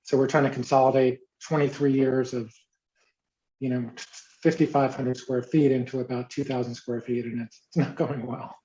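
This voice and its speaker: A man's voice: medium pitch, flat delivery, normal speed, mellow, a little hiss or hum. A man in a mid-range voice speaks as a guest on a video call, wry and dry-humored. His audio is dull and lo-fi. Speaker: guest, magazine editor. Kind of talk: casual video call chat.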